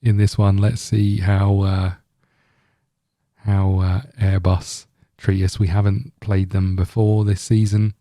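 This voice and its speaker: A man's voice: fairly low pitch, little pitch movement, slow, dark tone, dry recording, studio quality. A man hosting a gaming video, giving casual let's-play commentary in a rambling, thinking-aloud way.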